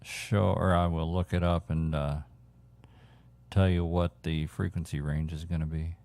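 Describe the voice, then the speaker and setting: A man hosting a casual radio hobby chat, thinking aloud in a halting way. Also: low-pitched, level tone, gravelly, darkish tone, slight background noise. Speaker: radio hobbyist host showing viewers CB radio gear.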